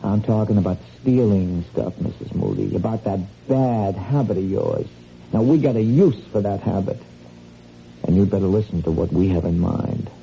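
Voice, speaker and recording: An actor playing a shifty, wheedling small-time crook with a hesitant, folksy, insinuating manner in an old-time radio mystery. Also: male voice, fairly low pitch, normal speed, gravelly, dark tone. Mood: sly, menacing. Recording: dull, muffled radio drama with noise.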